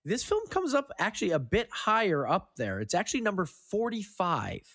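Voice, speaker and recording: A man's voice: high-pitched, wildly swooping pitch, normal speed, a bit bright, no echo, clean studio sound. A man hosting a film podcast, talking in a casual, conversational way with some offhand swearing. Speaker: podcast host.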